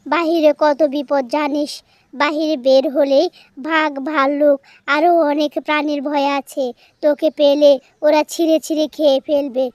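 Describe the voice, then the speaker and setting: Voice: high voice, flat delivery, normal speed, no room sound, close to an everyday voice. A performer voicing a strict, worried mother goat in a children's cartoon, scolding and warning. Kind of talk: children's animated story dialogue.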